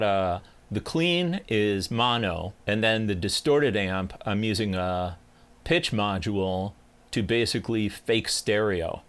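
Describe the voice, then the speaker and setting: A middle-aged man with a low, deep voice walks through guitar settings in his home studio. He is chatty and casual, thinking aloud and poking fun at himself as he goes. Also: fairly bright tone, smooth. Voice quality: deep bass singing voice. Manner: chatty, self-deprecating, thinking aloud with fillers. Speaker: guitar channel host. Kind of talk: casual gear tutorial.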